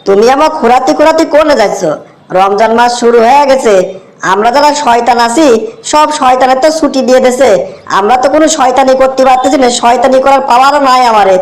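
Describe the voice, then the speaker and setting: A woman voicing an eager, admiring junior devil in a comic cartoon, chatty and excitable. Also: sits high, quick pace, close to an everyday voice. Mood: curious, eager. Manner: chatty, repeating words excitedly.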